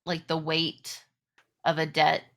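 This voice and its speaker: A woman improvising narration as game master of a tabletop game, halting as she searches for words. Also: deep voice, monotone.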